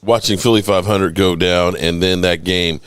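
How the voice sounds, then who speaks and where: A man hosting a casual sports talk show, his voice sore and hoarse, often clearing his throat. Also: sits low, steady tone, moderate pace, a bit bright, no echo. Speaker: sports podcast host.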